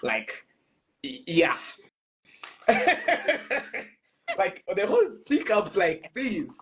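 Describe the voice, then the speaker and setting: A man's voice: high voice, wildly swooping pitch, very slow, fairly bright tone, a little hiss or hum. A man hosting a casual online chat, speaking informally with playful, teasing banter. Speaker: host of an online panel chat.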